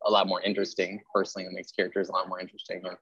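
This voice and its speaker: A man's voice: sits low, completely flat delivery, rapid-fire, sharp tone, slightly rough, no echo, dull audio. A man hosting a casual interview, chatting in an agreeable, rambling way with lots of filler words. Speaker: podcast host interviewing a writer.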